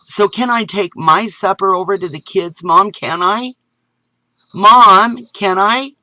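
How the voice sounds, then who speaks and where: A man's voice: high voice, takes it slowly, pushed and bright, no echo, voice pushed up, exaggerated voice, rough recording. A man doing a pleading little boy. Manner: pleading, repeats "Mom".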